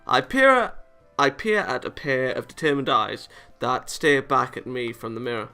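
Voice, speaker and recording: A man's voice: medium pitch, animated, moderate pace, vocal fry, pushed and bright. A man casually reading a visual novel aloud, dropping wry interjections between the lines. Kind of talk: casual read-aloud commentary. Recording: music behind the voice. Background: game music.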